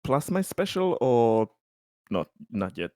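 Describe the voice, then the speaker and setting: Male tabletop player, animated intonation, halting casual delivery, very dry close sound. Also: mid-range voice, no room sound. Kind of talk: casual chat.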